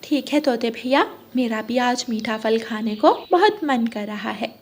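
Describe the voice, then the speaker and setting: A woman's voice: fairly high pitch, a little slow, darkish tone. A woman voicing a kind, protective mother sparrow with an earnest manner in a children's cartoon. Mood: agreeable.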